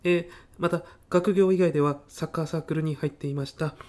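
A man's voice: a little high, fairly even intonation, brisk, mellow, natural voice. A man plays a nervous male student in a comedy radio sketch. He speaks with stiff, over-polite earnestness, hesitating as if reciting prepared answers. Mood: earnest, nervous. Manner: stiff, hesitant, rehearsed-sounding polite speech. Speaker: job applicant. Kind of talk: audio comedy sketch acting.

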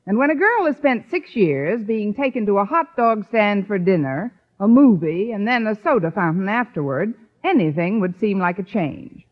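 Wry schoolteacher played by an actress, lively intonation. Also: female voice, mid-range voice, normal speed, few pauses, close to an everyday voice. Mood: wry, narrating. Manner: dry, sardonic, deadpan punchlines. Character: wry, quick-witted single schoolteacher woman. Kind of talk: 1950s radio comedy acting. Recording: slightly dull old radio recording.

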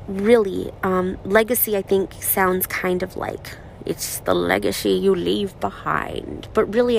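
A woman in her thirties speaking slowly and softly in a reflective personal monologue, her voice raspy from crying. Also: mid-range voice, slight background noise, rough recording. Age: 30s. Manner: slow, soft-spoken, halting with pauses.

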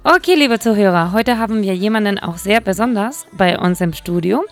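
Woman, radio host, lively, teasing, gravelly voice, faint music underneath. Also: medium pitch, a little fast. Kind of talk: bilingual radio morning show, casual. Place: radio studio.

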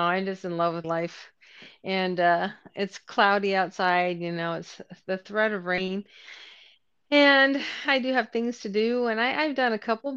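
Smooth-voiced woman, dull dry sound. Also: medium pitch, dry recording. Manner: relaxed, frequent murmured agreement. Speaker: co-host. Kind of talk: casual chat.

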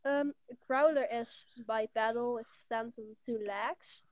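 This is a Dutch teenage girl chatting as a podcast guest. She speaks slowly and unhurriedly, pausing on ums, and her voice sits a little high. The audio is dull and muffled. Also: fairly even intonation, no room sound. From Netherlands. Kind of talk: casual podcast chat.

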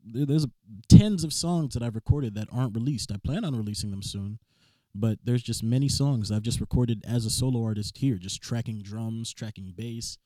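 A man speaks with a rough, rustic rasp and a lilt. His tone is dark and mellow, and the studio room sounds close and dry around him. He rambles in relaxed chat, often restarting his sentences. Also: mid-range voice, normal speed, dry recording. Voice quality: rough, raspy voice. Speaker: blues and soul musician, podcast guest. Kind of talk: casual chat.

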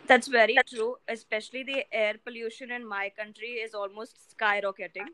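A young woman from India co-hosts a casual talk. Her voice is bright and sharp and sits a little high, and she speaks earnestly and measuredly. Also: steady tone, brisk, low-quality recording. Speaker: co-host. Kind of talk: casual discussion.

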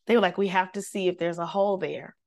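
A woman, a singer, telling a personal story in casual conversation, lively and devout, acting out the voices she quotes. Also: on the low side, quick pace. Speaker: guest.

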